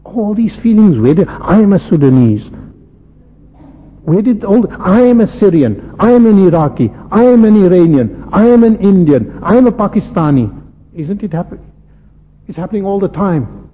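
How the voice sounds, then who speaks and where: A man from South Africa preaching a sermon, impassioned, firing rhetorical questions and swinging from loud peaks to soft low asides. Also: sing-song, moderate pace, breathy edge. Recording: lo-fi phone-like radio broadcast with faint hiss and long hall reverb.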